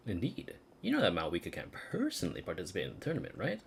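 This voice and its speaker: An actress playing a brisk, confident woman trainer in a video game, upbeat and friendly with a challenging manner. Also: very deep voice, huge pitch swings, gravelly, slight background noise, exaggerated voice.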